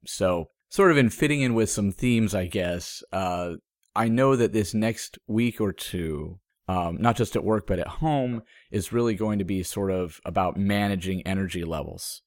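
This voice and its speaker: A man's voice: low voice, normal speed, no room sound, clean studio sound. A man co-hosting a podcast, a little stuffed up and under the weather, talking thoughtfully with fillers and small laughs. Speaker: podcast co-host. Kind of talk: casual chat.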